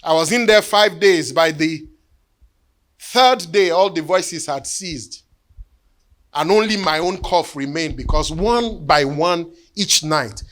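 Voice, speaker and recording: A man preaches in a voice that sits a little higher than most men's. He tells a personal story earnestly and often drops to a hush for weight. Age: 50s. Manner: earnest storytelling, sinking to hushed tones. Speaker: bishop. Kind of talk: sermon with personal testimony.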